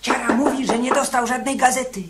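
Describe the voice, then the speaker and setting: Stammering, excitable prisoner, noisy recording. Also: male voice, very high-pitched, fairly quick. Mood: uneasy. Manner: stammering, repeating himself. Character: excitable, disbelieving condemned male prisoner, naively hopeful. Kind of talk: radio drama acting. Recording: noisy radio play recording.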